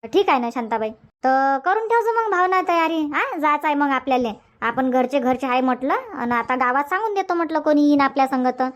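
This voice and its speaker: A woman's voice: sits high, expressive, fairly quick. A woman voicing a bossy, chatty cartoon village auntie with a Varhadi Marathi accent, insistent and repeating herself. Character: bossy, sociable village auntie organizing her friends. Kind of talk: cartoon comedy dubbing.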